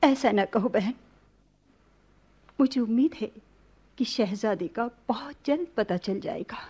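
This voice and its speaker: Resolute princess in prince's disguise, formal and earnest, slightly husky, radio play. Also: female voice, fairly high pitch, slow, dark tone. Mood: reassuring. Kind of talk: Hindi-Urdu radio play acting.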